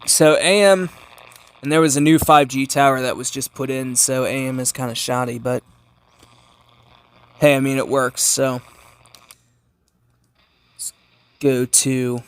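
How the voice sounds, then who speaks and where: A man's voice: mid-range voice, steady tone, unhurried, pauses often, smooth. A man giving a casual hands-on gadget review as a channel host, informal and repetitive as he thinks aloud.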